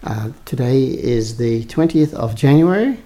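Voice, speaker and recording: Mellow-voiced male interviewer. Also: mid-range voice, lively intonation. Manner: measured, methodical questioning. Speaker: oral history interviewer. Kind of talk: formal interview introduction and questions. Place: home in Cremorne, Sydney.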